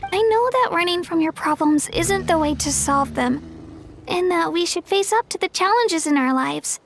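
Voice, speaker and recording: Shy earnest girl, very high, hesitant, game cutscene. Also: animated, normal speed.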